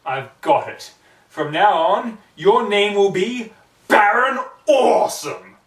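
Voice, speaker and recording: A man voicing a brash, cocky young female pegasus pony from a story, hammy, blunt and excitable, in a roomy, distant recording. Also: high-pitched, slow pace, pitched above the performer's own voice, heavily acted. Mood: excited. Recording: roomy echo, distant microphone.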